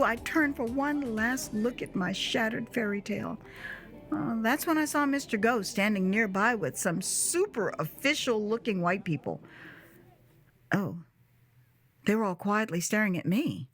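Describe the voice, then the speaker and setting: An American woman narrating a first-person audio drama in a theatrical, expressive way with dramatic pauses. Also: medium pitch. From United States.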